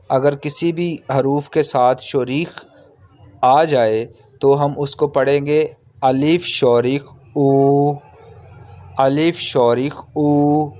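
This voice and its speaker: A man's voice: mid-range voice, darkish tone. A man giving an instructional language lesson, explaining step by step, repeating himself and sounding out vowels at length. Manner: repetitive, step-by-step explaining with drawn-out sample sounds. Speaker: language teacher.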